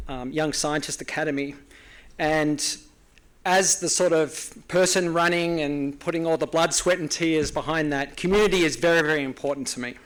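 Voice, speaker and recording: An Australian man presenting an award at a ceremony, speaking in an earnest, formal way with small hesitations. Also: a little high. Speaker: award presenter, nonprofit founder. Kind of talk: prepared awards speech.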